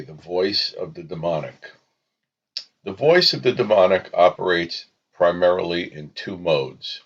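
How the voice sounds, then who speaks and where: Deep-voiced man, solemn podcast teacher. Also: fairly bright tone, highs cut off. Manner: solemn, deliberate, emphatic short phrases. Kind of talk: scripted spiritual teaching monologue.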